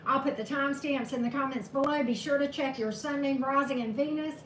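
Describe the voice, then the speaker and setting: A woman tarot reader, slightly hoarse, chatting casually with live-stream viewers and greeting them by name. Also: sits high, fairly quick. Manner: chatty, greets viewers by name, laughs at own corny jokes. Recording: echoey room with steady background noise.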